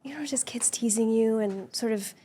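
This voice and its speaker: A woman with a soft, gentle voice sitting a little above the usual pitch, talking through personal experiences as a podcast guest. Her speech is earnest and reflective, with easy laughter woven through a relaxed conversation. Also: normal speed, husky.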